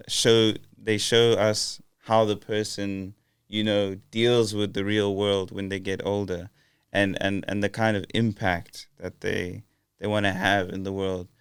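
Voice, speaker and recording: A man's voice: on the low side, clear, resonant tone, dry recording, studio quality. A young man hosting a casual podcast interview, hesitant with many fillers and restarts. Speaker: podcast host.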